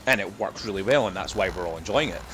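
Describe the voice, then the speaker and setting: A man's voice: low voice, animated, fast talker. Male, casual podcast guest, rambles and runs himself down, noisy recording. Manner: self-deprecating, rambling. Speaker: podcast guest, dungeon master. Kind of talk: casual banter while gaming. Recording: clearly noisy background.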